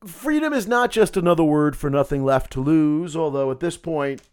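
A man hosting a political podcast, talking solo in a sarcastic, combative way with a gravelly voice. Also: fairly high pitch, expressive, normal speed, darkish tone. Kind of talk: opinionated solo commentary.

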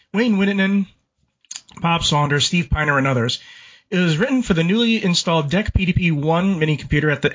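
A man's voice: a little high, a bit bright. A man co-hosting a gaming podcast, reading a history segment and chatting with frequent restarts and repeated words. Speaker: podcast co-host. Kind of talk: casual podcast chat and read-out history segment. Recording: lo-fi, dull sound.

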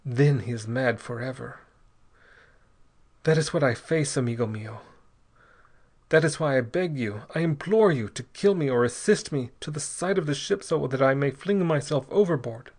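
A man voicing a tormented, formal Argentine gentleman in an adventure story, gasping and pleading in halting, unsteady phrases. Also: mid-range voice, animated. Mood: horrified, imploring. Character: poisoned Argentine gentleman, desperately polite, gasping, fighting madness.

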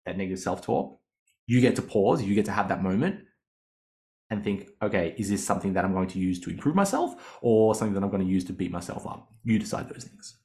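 A man's voice: sits low, expressive, quick pace, darkish tone. A man, hosting a podcast in a chatty, upbeat coaching style, laughing often and poking fun at himself. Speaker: podcast host. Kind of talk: casual coaching monologue.

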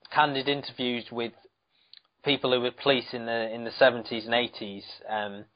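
A man co-hosting a podcast in a relaxed, chatty way, with a dry and gently teasing manner. His voice sits at an ordinary middle pitch. The recording sounds a little dull and lo-fi. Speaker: podcast co-host. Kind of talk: casual chat.

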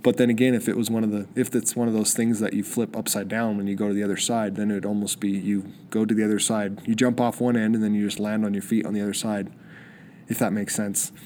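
A man's voice: fairly low pitch, flat delivery, fast, mellow, slight background noise. A young man hosting a solo podcast, sniffly with a cold, rambling eagerly with many restarts. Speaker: podcast host. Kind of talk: solo casual podcast monologue.

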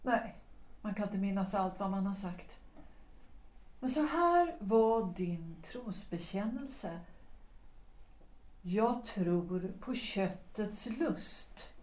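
An actress playing a melancholy, composed married woman who speaks in measured, reflective tones, recorded in an echoey room. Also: mid-range voice, animated, a little mellow, natural voice.

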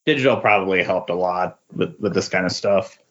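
Guy with a deeper voice, halting comics chat, says like a lot, muffled lofi mic. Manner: halting, tentative phrasing with frequent "like". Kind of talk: casual chat about comics.

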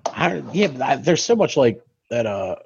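A man's voice: mid-range voice, big pitch swings, moderate pace, gravelly, lo-fi sound, a little hiss or hum. A man co-hosting a podcast in casual chat, rambling, swearing freely and laughing often, on a home mic over a video call. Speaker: podcast co-host.

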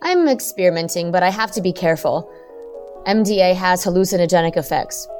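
A woman's voice: fairly low pitch, expressive, fairly quick, darkish tone, faint music behind, natural voice. A woman playing a worried, stern middle-aged mother, careful and reproving, in an audio drama. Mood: explaining, suspicious. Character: worried, stern mother who makes pills.

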